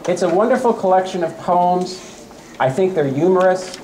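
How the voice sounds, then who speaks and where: A middle-aged man giving a public talk and reading poems aloud, with expressive storytelling. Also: high voice, moderate pace, echoey room. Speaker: speaker reading poems at a library event. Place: campus center event space. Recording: live event with faint room noise. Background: faint ambient noise.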